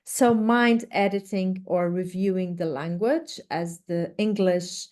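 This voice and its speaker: A woman from Portugal, a native Portuguese speaker with a Portuguese accent, presents an instructional webinar in a halting, hesitant way with many pauses. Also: on the low side, a little mellow. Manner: hesitant, halting delivery with frequent pauses and repeated words. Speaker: research director presenting a webinar.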